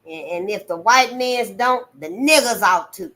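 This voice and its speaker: A woman's voice: medium pitch, expressive, a little slow, fairly bright tone. A woman voicing an indignant older servant woman who clucks with disapproval.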